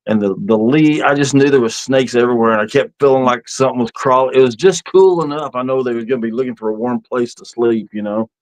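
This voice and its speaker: A man's voice: mid-range voice, fast talker, few pauses, no echo, low-quality recording. A man in his fifties chatting casually on an outdoor live stream in a folksy, rambling storytelling way. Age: 50s. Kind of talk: casual live-stream chat about camping.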